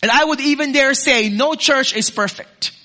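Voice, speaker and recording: A man preaching a sermon as pastor, moving from loud urging to soft, close asides. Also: very high voice, normal speed.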